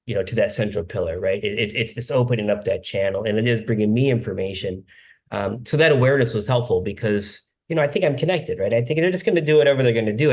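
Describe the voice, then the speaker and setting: A man hosting a podcast, talking casually and haltingly, repeating words as he thinks. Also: on the low side, darkish tone. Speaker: podcast host. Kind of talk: casual conversation.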